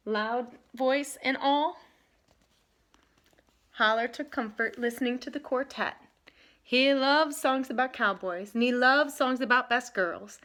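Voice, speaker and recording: A woman reading aloud to children in an expressive style, leaning dramatically on key words. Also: high voice. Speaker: storybook reader.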